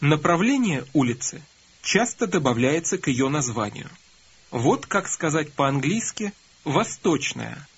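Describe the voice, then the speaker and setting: A man narrating a recorded language course, calmly prompting learners to repeat and answer. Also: on the high side, a little slow, breathy edge. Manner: calm, prompting, instructive. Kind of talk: scripted instruction prompting learners. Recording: dull lo-fi course audio.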